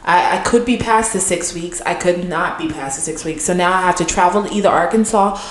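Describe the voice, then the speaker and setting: A woman's voice: lowish voice, a little fast, roomy sound, low-quality recording. A woman co-hosting a podcast, chatting casually with lots of fillers. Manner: chatty, many fillers and restarts. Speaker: podcast co-host.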